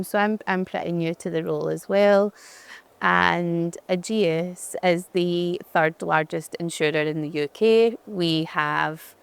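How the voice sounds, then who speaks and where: A woman from Glasgow with a strong Glaswegian accent, her voice low and smooth. She talks in a chatty, easygoing way, often restarting her sentences, as a guest in a conference fireside chat. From Glasgow, Scotland. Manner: chatty, frequent restarts and fillers. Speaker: head of digital experience, conference guest.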